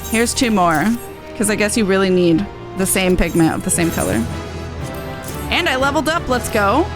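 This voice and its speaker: A woman's voice: mid-range voice, animated, moderate pace, over music. A woman streamer giving casual commentary as she plays a game.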